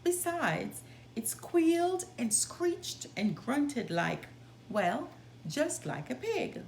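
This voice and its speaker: A woman reading a children's story aloud in an expressive, deliberate way. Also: high voice. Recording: roomy sound, background noise.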